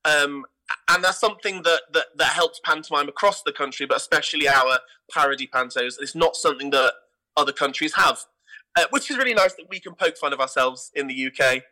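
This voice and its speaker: A British man with a very bright, forceful voice that sits a little high for a man. He talks with enthusiasm, in the conversational way of an interview guest explaining his work. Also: fairly quick, rough recording. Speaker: guest, artistic director of a pantomime theatre company.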